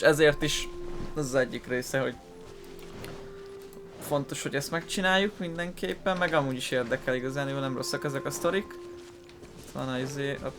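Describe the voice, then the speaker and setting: A man's voice: mid-range voice, creaky. A Hungarian man giving casual let's-play commentary, informal and often searching for words. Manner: informal, hesitant word-searching. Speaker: gaming video host.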